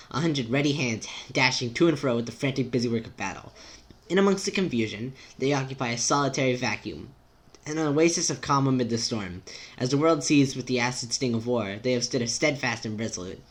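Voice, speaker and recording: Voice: medium pitch, fairly quick, lightly acted. An amateur reader putting on a solemn, florid narrator for a scripted war story. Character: grave, grandiose storybook narrator of rebel war.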